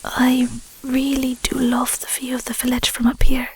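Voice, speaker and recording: A woman voicing an affectionate, bashful young Scottish werewolf girlfriend in an intimate audio roleplay, soft, whispery and a little hesitant, close on the mic. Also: high-pitched, monotone, normal speed, breathy edge, exaggerated voice, poor audio. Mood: sincere, tender. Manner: hesitant, stammering, murmuring endearments. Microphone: close microphone.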